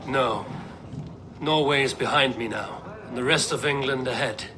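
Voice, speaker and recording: An actor playing a steadfast Viking warrior, calm and solemn, in a dramatic game cutscene. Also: male voice, medium pitch, moderate pace, background noise, lightly acted. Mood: resolute. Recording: orchestral music behind.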